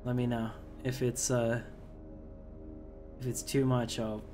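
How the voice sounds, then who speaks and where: A male gaming host with a medium-pitched voice, talking casually through his playthrough. He rambles in a chatty way, with light music underneath. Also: little pitch movement, slow pace. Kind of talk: casual let's play commentary.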